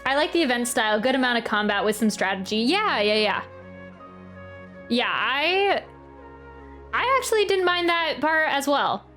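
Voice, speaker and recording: A woman's voice: high voice, big pitch swings, moderate pace, long pauses, pushed and bright. A woman livestreaming a video game, giggly and rambling as she chats casually with her viewers. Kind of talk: casual livestream reaction chat. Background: game music.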